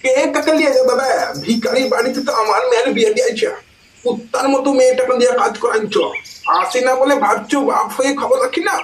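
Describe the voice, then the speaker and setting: A performer voicing a cantankerous, foul-mouthed older village father in a comic cartoon, scolding and bossy, with a pitched-up effect. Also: fairly even intonation, fast. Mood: indignant. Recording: echoey, noisy cartoon soundtrack.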